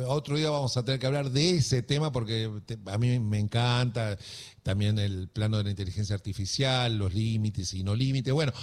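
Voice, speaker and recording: A man with an Argentine accent hosting a radio interview, speaking haltingly with many pauses and fillers. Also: mid-range voice, a little mellow. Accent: Argentine Spanish. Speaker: radio host.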